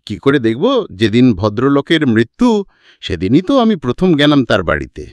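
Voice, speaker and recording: A man's voice: mid-range voice, huge pitch swings, moderate pace, darkish tone, dry recording. A man voicing a polite, well-read detective in a story, asking courteous, probing questions.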